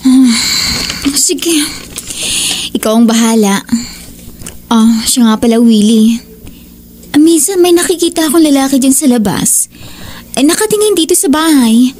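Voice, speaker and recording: Worried, caring wife and mother for horror audio drama; high female voice, slight background noise. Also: animated, measured pace, long pauses, a little mellow. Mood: uneasy.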